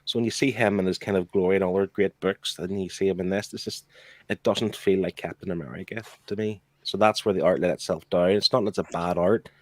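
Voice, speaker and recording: A man with a low voice chats casually as a show panelist, giving blunt opinions in plain conversational terms. The recording is dry and close, with little room sound. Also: steady tone, brisk, no room sound. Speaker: comic book show panelist.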